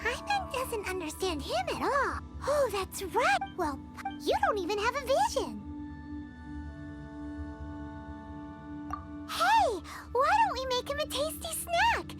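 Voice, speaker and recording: Small excitable girl companion for a game: squeaky, sky-high, swooping delivery. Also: unhurried, heavily acted. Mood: puzzled. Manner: squeaky, refers to self in third person. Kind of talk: animated video game acting. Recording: loud game music behind.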